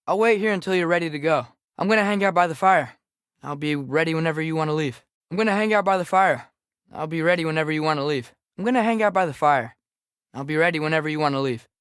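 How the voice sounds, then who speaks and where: Young man playing a teenage boy adventurer, American accent, higher-pitched, very dry close sound, casual, restless, understated, video game companion. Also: fairly bright tone, clear, resonant tone, no room sound, close to an everyday voice. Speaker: game character voice actor.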